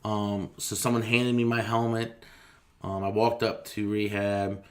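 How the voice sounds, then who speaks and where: Low, flat-toned man telling his story. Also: measured pace. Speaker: firefighter being interviewed. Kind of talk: recounting a personal experience in an interview.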